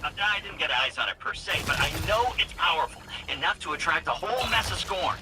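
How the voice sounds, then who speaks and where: Man playing an animated, eager informant, Finch. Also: a little high, brisk, music in the background, close to an everyday voice. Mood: chatty. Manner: chatty, eager, defensive. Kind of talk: video game dialogue.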